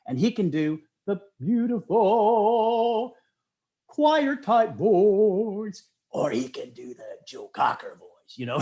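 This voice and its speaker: Higher-pitched middle-aged man chatting casually. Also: darkish tone. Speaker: interview guest, poet. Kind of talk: casual storytelling interview.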